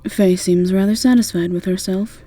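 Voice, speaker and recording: A woman's voice: medium pitch, fairly even intonation, normal speed, warm tone, no room sound. A woman narrating a scripted second-person fantasy story as the narrator of an audio roleplay.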